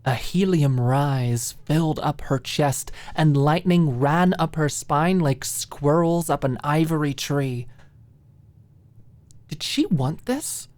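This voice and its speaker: A woman narrating an audiobook in an intimate ASMR style, expressive and deliberate, with dramatic pauses and breathy softening. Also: low voice, dry recording.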